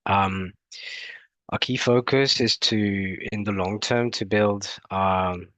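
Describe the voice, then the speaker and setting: Man with a British twang, fairly low. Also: steady tone, slow pace, fairly bright tone, no echo. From half French, half British. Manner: hesitant, many fillers and restarts. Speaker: guest presenter, energy company head. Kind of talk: webinar presentation.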